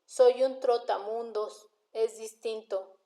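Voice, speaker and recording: A woman's voice: fairly high pitch, fairly even intonation, mellow, reverberant. A woman voicing a defiant, wry male drifter who answers police questioning with sardonic retorts in an audiobook. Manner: sardonic, argumentative, unhurried retorts. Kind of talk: audiobook character dialogue.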